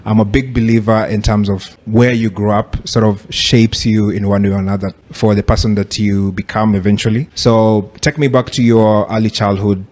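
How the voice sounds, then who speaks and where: A Kenyan man hosts a podcast, and his voice sits a little on the low side. He sounds upbeat and friendly as he introduces his guest and asks him questions. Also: flat delivery, moderate pace, hardly any pauses, a little mellow. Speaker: podcast host. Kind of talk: casual podcast interview.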